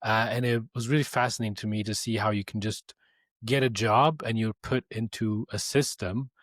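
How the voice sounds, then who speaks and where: Low-voiced man, relaxed. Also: normal speed, no echo, clean studio sound. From Norway. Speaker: guest, company co-founder. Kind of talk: interview answers.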